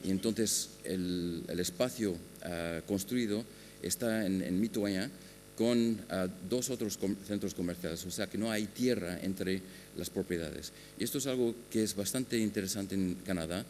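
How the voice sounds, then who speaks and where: A man giving a conference talk on a panel, speaking haltingly with many filler sounds and restarts. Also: deep voice, a little mellow, faint music behind. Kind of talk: conference presentation. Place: large conference hall. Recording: long hall reverb with faint hum.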